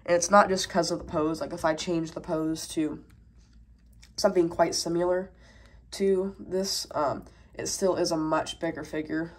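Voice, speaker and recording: A woman's voice: fairly low pitch, fairly even intonation, normal speed. A woman with a stuffed-up cold casually reviewing a toy, rambling with many fillers, recorded at a tabletop on a camera mic. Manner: rambling, many fillers, talking while handling toys.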